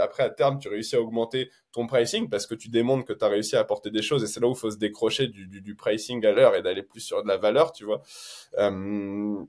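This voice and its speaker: A man who is a guest SEO consultant, talking in a relaxed, hesitant way with many fillers. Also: medium pitch. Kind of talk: casual podcast chat.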